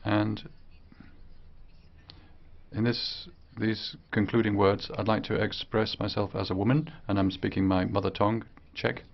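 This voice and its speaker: Low-voiced male interpreter, halting phrases. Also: flat delivery, gravelly, highs cut off. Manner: halting, broken into short phrases. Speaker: simultaneous interpreter. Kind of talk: live interpretation of a speech.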